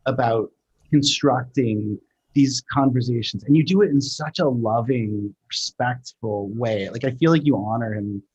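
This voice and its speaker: Male interview guest, husky mid-range voice, conversational, halting, appreciative. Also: mid-range voice, darkish tone, lo-fi sound. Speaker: sound designer guest. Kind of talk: casual chat.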